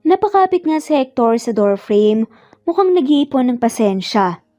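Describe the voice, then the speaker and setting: Female narrator, Filipino accent, dramatic scripted storytelling, slightly raised pitch, mellow tone, soft music bed. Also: big pitch swings, moderate pace.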